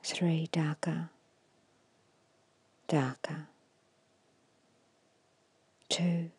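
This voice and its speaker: Breathy whispering woman, low and hushed. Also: fairly even intonation, no echo. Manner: slow, soothing, instructing with long pauses. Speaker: hypnotist guiding a meditation. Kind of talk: ASMR guided hypnosis meditation.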